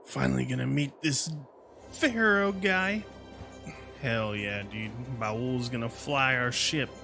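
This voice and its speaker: A man's voice: mid-range voice, slow pace, vocal fry. A man giving casual, slangy and laid-back commentary while streaming a game. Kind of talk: casual live gameplay commentary. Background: game music.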